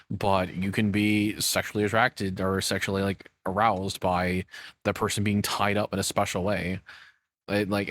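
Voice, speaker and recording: Man, lowish voice, casual reaction host, rambling and conversational, very dry close sound. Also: fairly bright tone, no echo. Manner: loose, rambling, acts out imagined quotes. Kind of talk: casual spoken commentary.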